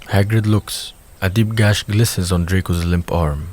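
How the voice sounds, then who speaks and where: A man's voice: sits low, fairly even intonation, measured pace, a little mellow. A man reading scripted narration for an audio drama.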